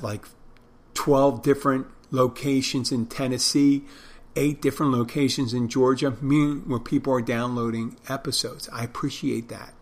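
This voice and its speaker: Rambling man with a Philadelphia accent. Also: mid-range voice. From Philadelphia, United States. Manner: meandering, self-deprecating, trails off mid-thought. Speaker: podcast host. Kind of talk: casual rambling solo podcast talk.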